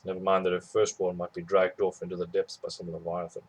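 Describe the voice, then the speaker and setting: Man, memoir reader, plain steady narration, low voice, husky tone. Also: fast talker. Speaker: audiobook reader. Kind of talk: reading a memoir aloud.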